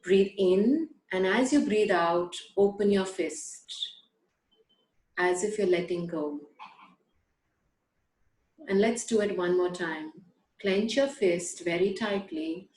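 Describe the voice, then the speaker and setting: A woman guiding a breathing and letting-go meditation, giving slow, soothing instructions with long pauses. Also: mid-range voice, darkish tone. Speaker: meditation guide. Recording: echoey room.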